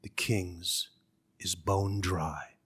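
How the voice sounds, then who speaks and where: A man in his sixties from California's Central Valley, an author speaking and reading to the public with measured, deliberate phrasing. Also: low-pitched, fairly even intonation, a bit bright, husky. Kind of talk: public talk and literary reading. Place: conference room. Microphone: gooseneck podium microphone.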